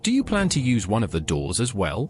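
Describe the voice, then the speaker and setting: A man's voice: fairly low pitch, huge pitch swings, a little fast, a little hiss or hum. A synthetic-style man's voice playing an inquisitive adventurer hero in a fantasy video game. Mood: curious.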